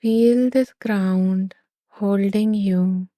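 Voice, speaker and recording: Brief: calm female meditation guide, unhurried, soft dark tone, dry recording. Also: medium pitch, no room sound. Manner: slow, soothing, gentle pauses.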